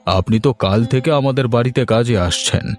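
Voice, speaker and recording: A man voicing a kindly, courteous village headman, polite and measured, in a moral-story cartoon. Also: medium pitch, close to an everyday voice. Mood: concerned. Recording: music under the voice.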